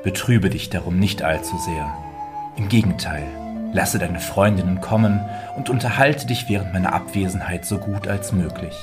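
A man plays a rich, stern husband from a storybook fairy tale, with a deep voice. He speaks in a formal, deliberate, commanding manner. Music plays clearly underneath. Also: lightly acted. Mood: formal, composed. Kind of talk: dramatic fairy-tale reading.

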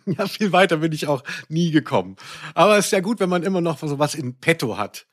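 Higher-voiced man, playfully ironic. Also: lively intonation, fairly quick. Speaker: podcast host. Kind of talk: casual chat.

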